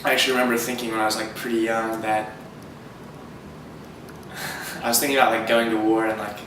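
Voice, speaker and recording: A young man answers interview questions hesitantly, restarting phrases and sprinkling in "like". His delivery stays almost completely flat in pitch. He sounds distant from the mic in a very reverberant room with clear background noise. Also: brisk. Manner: hesitant, repeats words, many "like" fillers.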